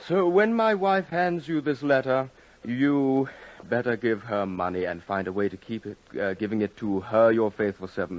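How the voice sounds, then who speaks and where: Actor as a courtly gentleman, animated delivery. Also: lowish voice, close to an everyday voice. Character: proud, smooth-talking London gentleman, courtly and curious. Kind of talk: old-time radio drama acting. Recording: dull, hissy, clicking radio recording.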